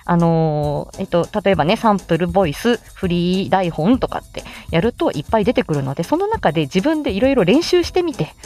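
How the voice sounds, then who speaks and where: A woman's voice: fairly low pitch, sing-song, fairly quick, few pauses, a little mellow. A woman with an androgynous voice explaining in a casual, chatty live-stream style, as an amateur voice actor giving tips. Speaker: amateur voice actor explaining how to make voice samples.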